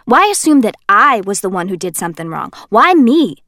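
A woman reading an audiobook voices a brash, joking young man who gets loud, crude and exasperated. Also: mid-range voice, sing-song, fairly quick, no room sound, clean studio sound. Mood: indignant. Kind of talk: audiobook character voice.

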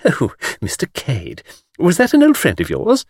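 A man's voice: fairly high pitch, wildly swooping pitch, measured pace, darkish tone, dry recording, clean studio sound, voice pushed up, exaggerated voice. A man voicing a skittish, coquettish young lady tourist who gushes and giggles in an audiobook story. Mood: coquettish, teasing. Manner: coy, breathy exclamations and giggles.

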